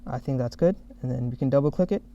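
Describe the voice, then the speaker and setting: Mellow-voiced man presenting a software demo, dull audio. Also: mid-range voice, fast talker.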